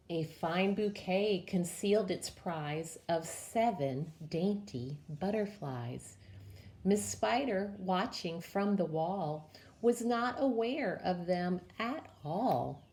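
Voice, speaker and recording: A woman presenting story time for young children, reading a picture book aloud in a measured, expressive way. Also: lowish voice, slight background noise.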